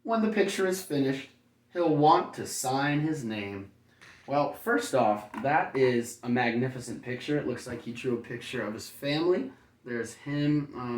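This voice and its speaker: A man reading a children's picture book aloud like a kindergarten teacher, breaking off for playful, folksy, chatty asides. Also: mid-range voice. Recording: roomy echo, distant microphone.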